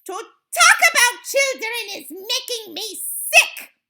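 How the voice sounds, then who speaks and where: A woman's voice: very high-pitched, very animated, takes it slowly, sharp tone, voice pushed up, full character voice. A woman voicing a tyrannical, child-hating chief witch in a children's storybook, screeching and barking out imperious orders. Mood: revolted. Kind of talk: theatrical children's audiobook villain.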